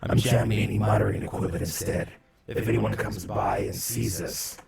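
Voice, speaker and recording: A man voicing a gruff, terse, commanding armored warrior in a comic reading, with a gravelly voice. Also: on the low side, fairly even intonation, normal speed, crowd noise. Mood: stern, curt. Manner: curt, commanding. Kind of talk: comic book voice acting. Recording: background music under the voice.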